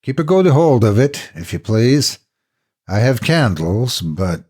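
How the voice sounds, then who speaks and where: A man's voice: mid-range voice, sing-song, slow pace, a little mellow, breathy edge, pitched above the performer's own voice, heavily acted. A man voicing a grim, cryptic castle factor in a horror story, terse, cautious and ominous. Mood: politely commanding. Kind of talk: horror audiobook character acting.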